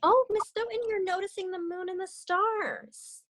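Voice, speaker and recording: A young woman reading a children's storybook aloud on a video call, expressive and encouraging as she chats about it. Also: very high-pitched, moderate pace. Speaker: read-aloud co-host, story reader.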